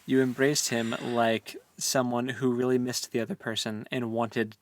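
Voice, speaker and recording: A man's voice: medium pitch, level tone, moderate pace, no room sound. A man co-hosting a podcast, talking casually and informally about a book with opinionated commentary. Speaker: podcast co-host. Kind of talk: casual book discussion chat.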